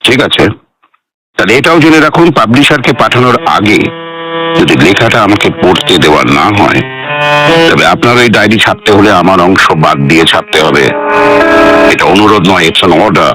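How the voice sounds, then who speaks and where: A man's voice: fairly low pitch, expressive, normal speed, long pauses, music in the background, lightly acted. An actor playing a guarded, menacing man in a radio drama, speaking in a clipped, formal, commanding manner. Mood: threatening.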